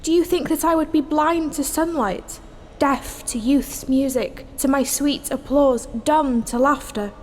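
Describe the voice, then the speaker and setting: Woman playing high-voiced passionate young dancer. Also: unhurried, a little mellow, slight background noise. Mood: anguished, impassioned. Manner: lyrical, impassioned declamation. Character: passionate young female dancer, dreamy romantic. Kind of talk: stage-like audio drama acting.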